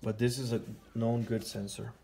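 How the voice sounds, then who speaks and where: A man's voice: medium pitch, level tone, moderate pace, creaky, dark tone, breathy edge. A man walking through a car sensor test in a hands-on repair tutorial, talking casually step by step while he works in a garage. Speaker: auto mechanic.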